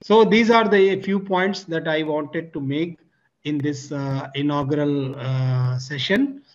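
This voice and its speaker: Halting older Indian man on a video call. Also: fairly high pitch, steady tone. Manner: halting, many fillers. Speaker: keynote speaker. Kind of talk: formal conference address. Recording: dull video call audio.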